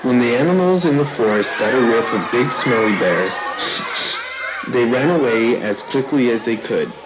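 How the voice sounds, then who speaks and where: A storyteller narrating a scripted children's tale in a deliberate, theatrical way. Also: mid-range voice, expressive, over a noisy crowd. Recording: live show with audience noise.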